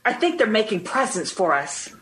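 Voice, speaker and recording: An actress playing a warm, supportive 1950s wife and mother in an old-time radio drama, affectionate and encouraging. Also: mid-range voice, sing-song, normal speed, slight background noise. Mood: cheerful, supportive. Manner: bright-spirited, encouraging, affectionate.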